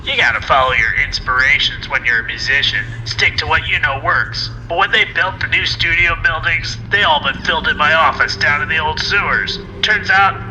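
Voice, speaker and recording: A highish, very bright male voice plays an eccentric songwriter in a game audio log. He sounds folksy, grubby and pleased with himself. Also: slight background noise, poor audio. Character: eccentric, cheerfully grubby male musician and lyricist.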